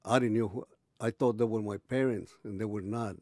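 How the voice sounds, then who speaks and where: A man in his eighties recalling his early life in an oral history interview, halting and restarting as he searches for words. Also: fairly low pitch, steady tone, a little mellow, no echo, studio quality. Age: 80s. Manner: halting, many restarts and pauses.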